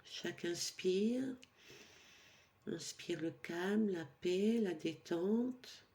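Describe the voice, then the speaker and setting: A woman leading a guided yoga nidra meditation for sleep as an instructor, with a calm, soothing, instructional delivery and pauses. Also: on the low side.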